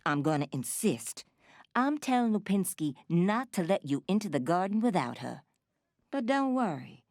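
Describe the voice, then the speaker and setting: A woman's voice: fairly low pitch, a little mellow, dry recording, clean studio sound. An actress voicing a tough, commanding woman sheriff in a video game, blunt and folksy, with a drawl.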